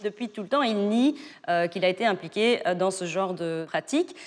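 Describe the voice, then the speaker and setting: A woman's voice: mid-range voice, fairly quick, big-room sound. A woman expert answering interview questions, explaining at length with frequent hesitations and restarts. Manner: hesitant, many fillers and restarts.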